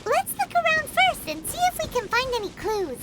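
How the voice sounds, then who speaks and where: Tiny squeaky sidekick girl for a game, super high, swoops everywhere, curious n excitable. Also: moderate pace, exaggerated voice. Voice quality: squeaky, childlike. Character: tiny childlike floating companion, female, curious, excitable. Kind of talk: video game character acting. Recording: music behind the voice.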